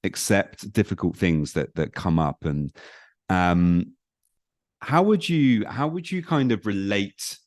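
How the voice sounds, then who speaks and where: Guy hosting a chatty interview, low voice, stumbles and repeats words, very dry sound. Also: animated, no room sound. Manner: halting, rambling with repeated words. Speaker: podcast host. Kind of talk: conversational interview.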